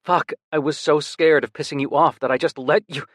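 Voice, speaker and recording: A panicked young man pleads with his brother, voice pushed up higher and louder, swearing when he gets upset, as the narrator puts him on. The audiobook read is dry and close, with no room around it. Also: steady tone, a little fast, no room sound. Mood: worried pleading.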